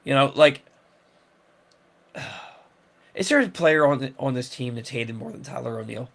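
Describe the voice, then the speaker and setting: A man's voice: mid-range voice, normal speed, vocal fry. A man hosting a casual sports talk show, rambling with many restarts and fillers. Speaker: sports podcast host.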